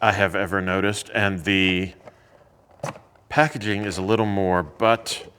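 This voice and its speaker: A man who teaches about whiskey, explaining things in a relaxed, casual way with lots of fillers. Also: low voice, a little slow, a little hiss or hum. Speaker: whiskey educator. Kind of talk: casual chat. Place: indoors at a teaching academy.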